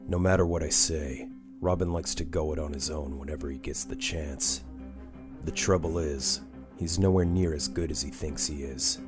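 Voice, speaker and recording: Casting: male actor as stern brooding masked vigilante, extremely low voice, terse measured comic-dub narration over prominent music. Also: steady tone. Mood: grim, brooding. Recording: music behind the voice.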